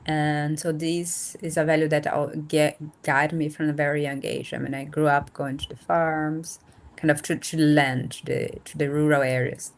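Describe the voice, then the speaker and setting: A woman in her thirties from Brazil, with Portuguese as her first language, talking casually as a guest on a video call and pausing often to find her words. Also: low voice, flat delivery. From north and northeast Brazil. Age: 30s. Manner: thoughtful, searching for words with fillers. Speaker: guest, nonprofit founder. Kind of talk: casual interview talk. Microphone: remote video call.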